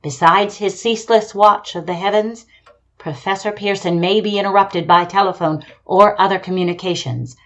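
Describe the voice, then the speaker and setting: A woman's voice: mid-range voice, steady tone, moderate pace, fairly bright tone, lightly acted. Radio-play field reporter, actress, female, mid-range voice, 1930s style, formal, courteous, descriptive. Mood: poised, descriptive. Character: poised female radio field commentator interviewing an astronomer. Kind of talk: 1930s radio drama live commentary.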